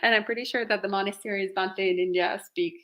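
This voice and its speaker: A woman with an Italian accent hosting a casual live question-and-answer chat, talkative and quick to laugh. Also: mid-range voice, steady tone, fairly bright tone. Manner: chatty, laughs often, fillers like "you know". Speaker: host reading viewer questions.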